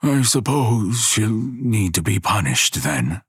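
A man's voice: on the high side, very animated, slow pace, breathy edge, no room sound, clean studio sound, voice pushed up, full character voice. A man doing a weary, humble old grandfather. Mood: deferential. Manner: deferential, soothing.

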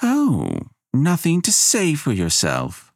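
A smug young fire goddess mocks a village girl in a lively, lifted voice, as a man puts her on. Her tone is snippy and superior. The sound is dry and close. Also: a little high, slow pace, creaky, husky, dry recording, pitched above the performer's own voice, exaggerated voice. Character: haughty sharp-tongued young female fire goddess.

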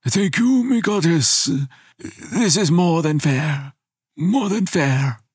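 A man's voice: a little high, lively intonation, takes it slowly, husky, no echo, pitched above the performer's own voice, exaggerated voice. A weary elderly grandfather speaks deferentially and soothingly, his voice lifted above the narrator's, as a man voices him. He sounds humble and worn. Dry, close sound.

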